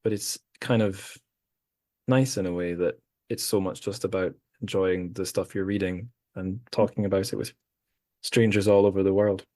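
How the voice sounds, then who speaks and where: Low-voiced man hosting a casual chat. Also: steady tone, moderate pace, mellow, dry recording, clean studio sound. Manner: thoughtful, rambling with asides. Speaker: podcast host. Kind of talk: casual group conversation.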